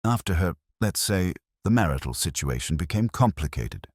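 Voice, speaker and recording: A man voicing a polite, quietly witty police inspector with a measured, unassuming manner in a mystery story. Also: sits low, very animated, dry recording, clean studio sound, voice pushed up. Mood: thoughtful.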